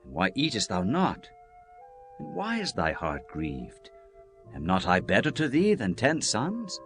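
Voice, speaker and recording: A man's voice: on the low side, huge pitch swings, unhurried, full character voice. A man playing a concerned, tender husband of ancient Israel in a dramatized scripture reading, speaking earnestly in old-fashioned phrasing. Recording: music bed behind the voice.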